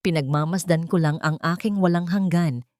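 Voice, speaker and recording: Woman acting a tender, soft-hearted young bride-to-be. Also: on the low side, moderate pace, no echo, studio quality, natural voice. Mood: tender, teasing. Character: soft-hearted young woman accepting her beloved's proposal.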